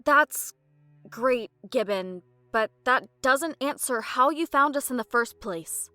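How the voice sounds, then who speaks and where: A woman playing a brisk, skeptical, no-nonsense adventurer in an audio drama. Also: high-pitched, expressive, pushed and bright, no echo, soft background music, exaggerated voice. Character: no-nonsense female adventurer with werewolf powers.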